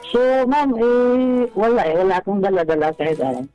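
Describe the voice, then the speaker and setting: Muffled, high-pitched male caller. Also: animated, dark tone. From Bohol, Philippines. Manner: polite, halting. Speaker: radio show caller. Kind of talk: casual personal storytelling. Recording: dull, band-limited phone call. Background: music.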